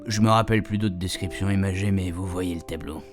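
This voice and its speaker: A man's voice: sits low, fairly even intonation, fairly quick, music in the background, lightly acted. A man playing a chatty, cheeky retired grandpa who rambles colloquially through a radio fiction monologue. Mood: fond, dreamy. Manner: rambling, colloquial, repeatedly checking his puns landed. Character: chatty retired grandpa, cheeky, self-amused, homespun storyteller.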